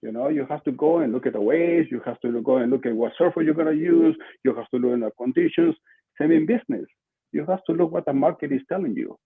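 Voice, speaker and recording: Husky older man with Peruvian accent. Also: on the high side, quick pace. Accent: Peruvian Spanish. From Lima, Peru. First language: Spanish. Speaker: podcast guest, marketing entrepreneur. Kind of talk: conversational interview answers. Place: small room at home, indoors. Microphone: video call, computer mic at desk distance. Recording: muffled, lo-fi video call audio.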